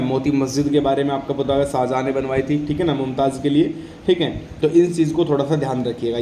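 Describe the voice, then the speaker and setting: Echoey, higher-pitched male teacher. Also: mellow. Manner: didactic, repeats questions and answers, frequent check-in tags. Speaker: exam coaching teacher. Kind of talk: informal lecture on exam general knowledge. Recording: echoey room with faint background noise.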